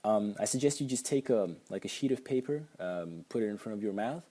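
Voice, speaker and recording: A man's voice: on the low side, expressive, fairly quick, warm tone, husky. A young man with a Slovak accent, a native Slovak speaker, explaining sounds in a casual lesson with frequent hesitations. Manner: hesitant, with many ums and restarts. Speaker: language teacher.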